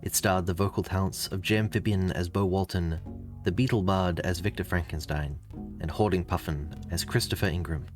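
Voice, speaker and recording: A man with a low voice narrates a podcast outro. He reads the scripted credits as an announcer while guitar music plays behind him. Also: fairly even intonation, moderate pace. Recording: music playing under the voice.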